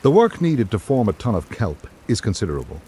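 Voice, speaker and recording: A man reading scripted literary narration in a measured, observational manner. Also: fairly low pitch, huge pitch swings, mellow. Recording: background noise and ambience.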